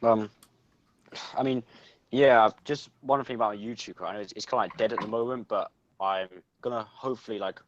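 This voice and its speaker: Young male guest, low voice, muffled lo-fi mic, laid-back. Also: steady tone, normal speed. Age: young adult. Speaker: guest, college student. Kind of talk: casual podcast chat. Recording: dull lo-fi mic.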